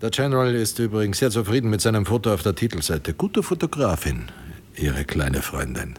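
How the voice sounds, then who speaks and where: An actor playing an American army colonel who runs a newspaper in a radio drama, measured, emphatic and condescending, with deliberate pauses. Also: male voice, on the low side, sing-song, faint music behind. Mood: patronising, firm.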